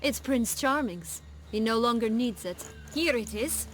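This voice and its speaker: A woman's voice: a little high, lively intonation, a little slow, fairly bright tone, a little hiss or hum. An actress playing a haughty, sardonic young noblewoman with a menacing streak in a fantasy video game, cool, commanding and mocking. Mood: dry, brisk. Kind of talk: video game character acting.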